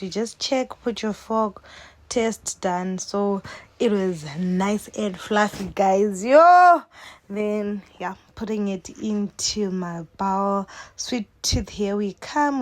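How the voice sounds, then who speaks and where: A young woman with a Zimbabwean accent talks her viewers through a baking video in a relaxed, chatty way. She takes her time, and her voice sounds close and dry, with no room echo. Her delivery is playful, full of jokes and asides to the audience. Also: medium pitch. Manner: playful and jokey, laughs often, addresses viewers as "guys". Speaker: cooking vlogger. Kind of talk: casual chatty baking vlog.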